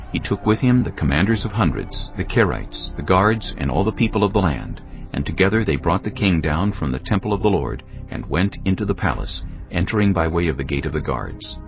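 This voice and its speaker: A man with a very deep voice narrates scripture in a solemn, measured way. His pitch moves expressively through the dramatic reading, over background music. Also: creaky. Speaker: Bible narrator. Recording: dull, lo-fi audio with music.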